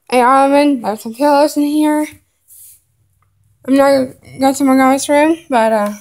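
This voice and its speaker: A high-pitched woman speaks very slowly, pausing often and filling the gaps with "uh" and "um" as she names things one by one. She is filming a home tour on a handheld phone while walking through the rooms of a house. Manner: halting, many fillers, lists items one by one. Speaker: vlogger giving a home tour. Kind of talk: casual home tour narration. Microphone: handheld phone camera, filming while walking.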